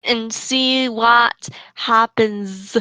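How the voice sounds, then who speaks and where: A woman's voice: on the high side, fairly even intonation, extremely slow, pushed and bright, clean tone, no room sound. A woman co-hosting a casual fanfiction reading, switching between reading aloud and chatting. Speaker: co-host.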